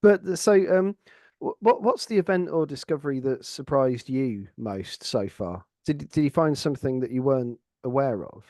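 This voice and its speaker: A middle-aged man with an English accent, a researcher talking earnestly and haltingly, repeating words as he reflects. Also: mid-range voice, lively intonation, mellow, no room sound. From Lincolnshire, England. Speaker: academic researcher and co-host. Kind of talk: reflective conversational discussion.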